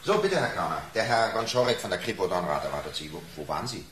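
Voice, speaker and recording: An actor playing a courteous, slightly officious company boss with a formal manner in a radio play. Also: male voice, sits low, lively intonation, fast, noisy background. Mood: polite, brisk. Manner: polite, formal.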